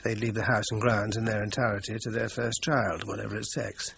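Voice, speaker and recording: A man's voice: fairly low pitch, flat delivery, brisk, creaky. An actor playing a well-bred, guarded British man in a radio crime drama, speaking formally with evasive hesitations. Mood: formal, detached. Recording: dull lo-fi radio recording, faint noise.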